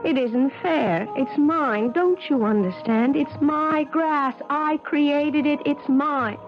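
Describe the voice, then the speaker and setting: A woman's voice: high-pitched, slow pace. An American actress playing an embittered, wronged woman scientist in an intense, theatrical 1970s radio drama style. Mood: wounded, aggrieved. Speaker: actor in a radio mystery drama. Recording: dull 1970s radio broadcast, music behind.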